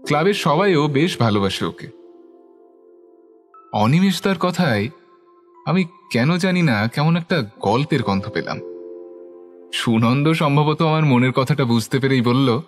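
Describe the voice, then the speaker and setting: An actor playing a curious young club member who narrates a ghost story in the first person, with scripted dialogue. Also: male voice, medium pitch, lively intonation, unhurried, pauses often, soft background music, lightly acted. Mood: observant, curious.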